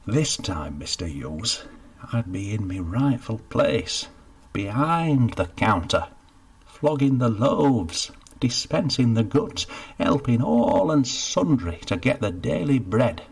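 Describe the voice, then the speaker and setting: A man's voice: sits low, animated, unhurried, creaky, husky, full character voice. A man voicing a scruffy, sheepish jobless man of about fifty, who mumbles and stammers. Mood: eager. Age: 50s.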